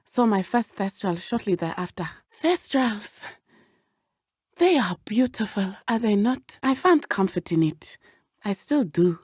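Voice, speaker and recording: A woman's voice: mid-range voice, big pitch swings, unhurried, a little mellow, slightly rough, dry recording, studio quality. A woman playing an earnest, brave teenage schoolgirl witch in a video game, speaking in formal, precise phrases. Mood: solemn. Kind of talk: video game character dialogue.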